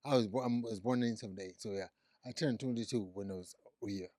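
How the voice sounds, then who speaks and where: A man's voice: on the low side, fast, a little mellow, no room sound, clean studio sound. A man in his forties from Zimbabwe, speaking as a guest telling his life story in a casual interview, often repeating words and restarting. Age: 40s. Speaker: guest, psychiatric nurse practitioner.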